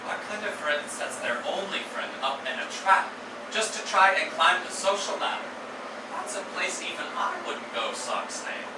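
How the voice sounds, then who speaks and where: Sassy, higher-voiced high school boy, acted by a man. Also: lively intonation, normal speed, lightly acted. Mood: accusing. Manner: arch, dramatic, withering. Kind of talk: staged musical reading acting. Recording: very echoey live stage, distant, noisy.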